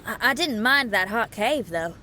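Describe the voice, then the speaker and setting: A woman voicing a calm, helpful young female traveler in a video game, gentle and earnest. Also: fairly high pitch, moderate pace, slight background noise.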